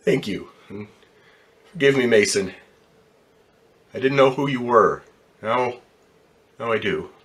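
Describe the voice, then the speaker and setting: Man playing a halting, heartbroken driver, bright edge. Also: mid-range voice. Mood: amazed, overjoyed. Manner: halting, stammering, repeating words. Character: troubled ambulance driver, heartbroken, weary, plain-spoken man. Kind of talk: radio drama acting.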